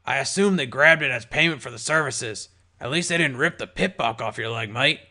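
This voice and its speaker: A man's voice: mid-range voice, a little fast, sharp tone. A man voicing a jovial old male ghoul pony bartender, chummy and calling everyone mate. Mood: friendly. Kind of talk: audiobook character voice.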